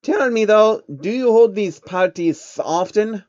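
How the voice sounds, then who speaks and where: A man's voice: high-pitched, expressive, slow pace, a little mellow, dry recording, pitched above the performer's own voice. A sly secret-society baron in a story reading, played by a man. He is amused and teasing, breezy in delivery, with swooping intonation. The recording is dry and a touch dull.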